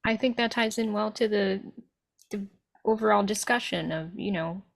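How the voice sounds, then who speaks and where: A woman's voice: mid-range voice, moderate pace, vocal fry, no room sound. A woman casually moderating a group discussion.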